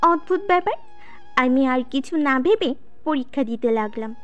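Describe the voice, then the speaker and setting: A woman's voice: high-pitched, expressive, normal speed, muffled recording. A woman playing a shy, easily piqued student who narrates her own story in a scripted audio drama. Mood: earnest, wistful. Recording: music behind the voice.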